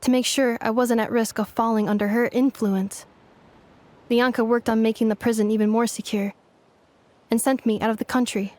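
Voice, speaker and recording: A woman voicing a calm, literal-minded young female game character with a measured, formal, matter-of-fact delivery. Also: fairly high pitch, lightly acted. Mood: calm, reflective. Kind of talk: video game voice acting.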